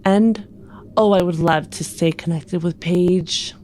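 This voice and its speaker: A woman's voice: fairly low pitch, steady tone, slow, warm tone, slight background noise. A woman playing an earnest, polite space traveler in improvised tabletop roleplay, plain-spoken and hesitant.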